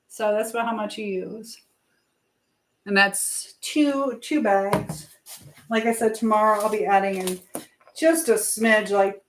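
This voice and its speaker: Lady livestreaming from her kitchen, chatty and rambly, avg pitch, talking to viewers while she cooks. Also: fairly bright tone, a little hiss or hum, low-quality recording. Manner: chatty, meandering, greets viewers by name. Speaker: livestream host. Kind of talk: casual live-stream chat while cooking, reading out comments.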